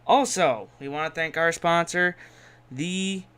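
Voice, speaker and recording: A man's voice: on the high side, measured pace, fairly bright tone, clear, resonant tone. A man hosting a podcast in an informal, sweary style, often repeating himself as he talks off the cuff. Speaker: podcast host. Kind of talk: casual chat and unscripted sponsor reads.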